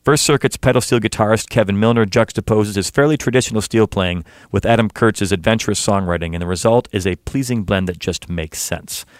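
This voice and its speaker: A man's voice: lowish voice, no room sound, studio quality. A man hosting a music interview show, reading a scripted introduction and then chatting with a band. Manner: measured, announcer-like delivery. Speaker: radio show host.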